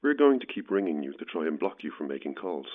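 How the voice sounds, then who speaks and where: Creepy guy on the phone, deep n husky, flatly menacing, tinny line. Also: fast talker, exaggerated voice. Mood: falsely friendly. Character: eerie, menacing male caller, unnervingly matter-of-fact. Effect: telephone filter. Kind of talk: horror audio drama acting.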